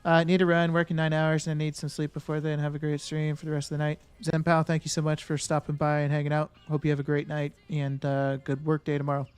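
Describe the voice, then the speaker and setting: Casual streamer man, slightly high voice. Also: monotone, fast, hardly any pauses, smooth. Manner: thinks aloud and answers chat with many fillers and restarts. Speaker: game streamer. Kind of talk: casual live-stream chat.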